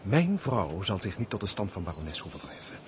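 Lovesick aristocratic major, fervent and formal, low voice, period radio play with faint hiss. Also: male voice, very animated, a little fast. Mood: fervent, defiant. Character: ardent, lovesick aristocratic major, earnest and impulsive. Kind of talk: Dutch radio play, period stage dialogue.

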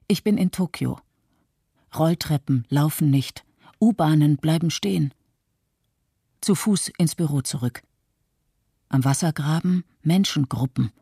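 An actor voicing a stunned earthquake witness in a poetic radio monologue, in clipped short phrases with pauses. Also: mid-range voice, warm tone, slightly rough, no room sound, studio quality, heavily acted.

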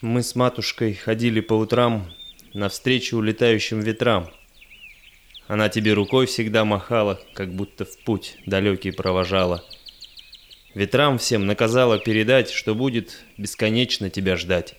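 An actor playing a Cossack's earnest grown son in an audio drama, speaking verse in a measured way. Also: male voice, on the low side, steady tone, slight background noise, lightly acted. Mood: wistful.

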